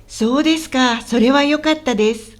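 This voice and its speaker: A woman playing a friendly, helpful local guide in a scripted language-lesson radio drama, explaining politely and clearly. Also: sits high, moderate pace, soft background music, lightly acted. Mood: welcoming. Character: friendly, helpful woman guiding a visitor around Osaka.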